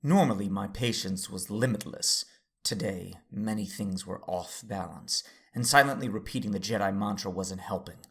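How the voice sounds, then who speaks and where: An actor playing a steady, weary Jedi father who narrates his own story in an earnest, measured audio drama style. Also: fairly low pitch, close to an everyday voice. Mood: strained, restrained. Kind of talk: first-person dramatic narration and acting.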